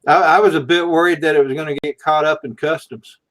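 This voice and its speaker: A man's voice: on the high side, steady tone, quick pace, a bit bright, rough recording. A man in his sixties hosting a casual group chat, speaking in an easygoing conversational style. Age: 60s. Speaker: host of a group livestream.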